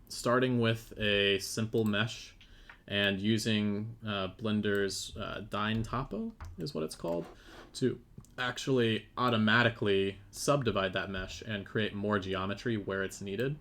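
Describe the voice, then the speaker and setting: A man's voice: fairly low pitch, a bit bright, a little hiss or hum. A man who works as a 3D modeller, explaining things in a thoughtful, hesitant way on a casual live stream. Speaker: game developer and 3D modeller.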